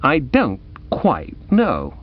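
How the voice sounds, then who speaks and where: A man playing an amiable, dim, flustered upper-class young gentleman in a comic audiobook, bluff and eager. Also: medium pitch, huge pitch swings, drawn-out words, muffled recording, exaggerated voice. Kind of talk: dramatised comic audiobook reading.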